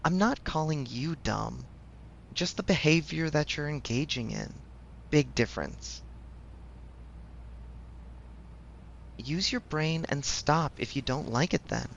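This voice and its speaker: Supportive male best friend played by a man, conversational audio roleplay, frank and gently teasing, creaky mid-pitched voice, faintly noisy recording. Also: a little slow, lo-fi sound. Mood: blunt, reassuring.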